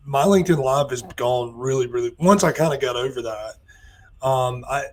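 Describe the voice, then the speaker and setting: Hoarse man, guest on a live online talk show, casual and earnest, mid-pitched. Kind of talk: casual chat.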